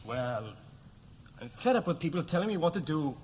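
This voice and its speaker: A man's voice: fairly high pitch, big pitch swings, normal speed, a little mellow, poor audio. An actor playing a touchy, sullen young bridegroom resentful of his brother, in a 1960s radio play. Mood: resentful, angry. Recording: hissy 1960s radio recording.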